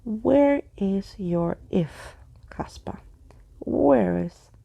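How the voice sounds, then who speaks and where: A woman's voice: medium pitch, lively intonation, drawn-out words, creaky, dark tone, breathy edge, no echo, exaggerated voice. A woman voicing a theatrical male skeleton adventurer in a pompous, wordy, emphatic storybook manner. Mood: probing.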